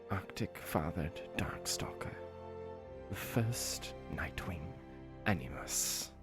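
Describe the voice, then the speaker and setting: A man plays a proud young ice dragon with a low, gravelly voice and very varied intonation. He lectures pompously and bitterly, audio drama style, over music. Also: expressive, takes it slowly, heavily acted. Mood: grim, bitter. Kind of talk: fan audio drama acting.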